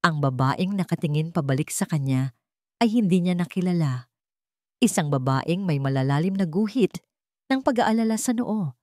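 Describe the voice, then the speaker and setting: A woman's voice: low-pitched, darkish tone, dry recording, clean studio sound. A woman narrating a scripted story in a solemn, dramatic storytelling manner.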